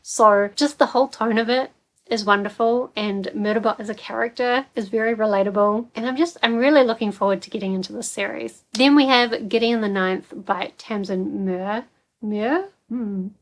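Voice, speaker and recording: A woman's voice: medium pitch, fairly even intonation, normal speed, poor audio. A young woman with a New Zealand accent, chatting casually about books in a rambling, filler-heavy way. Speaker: book vlogger. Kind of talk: casual book chat.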